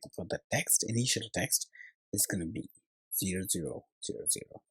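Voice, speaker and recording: Husky, low-voiced man teaching coding. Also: normal speed, a bit bright, no echo, poor audio. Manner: hesitant, thinking aloud while working. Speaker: programming tutorial instructor. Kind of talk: step-by-step coding screencast narration.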